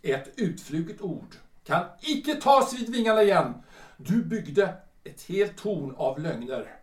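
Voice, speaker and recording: A bitter retired official in his sixties, with a rather high voice for a man. He speaks in formal, old-fashioned, halting phrases, like a wounded ex-husband in a period radio drama. The sound is roomy and set back from the microphone. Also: sing-song, recorded from a distance. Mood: accusing. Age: older. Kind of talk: period stage-play radio drama acting.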